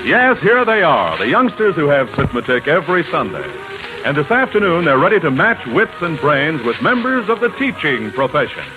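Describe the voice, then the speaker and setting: A man's voice: on the high side, big pitch swings, normal speed. An American man announcing a scripted old-time radio show. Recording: muffled vintage radio broadcast, music behind.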